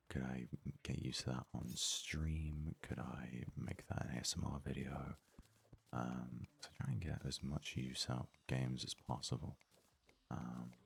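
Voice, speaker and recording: A male gaming host speaks in soft, unhurried ASMR style. His voice is rumblingly low and heavily fried, with a husky rasp. He muses in a low-key way as he comments on his play. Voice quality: gravelly, rough, deep.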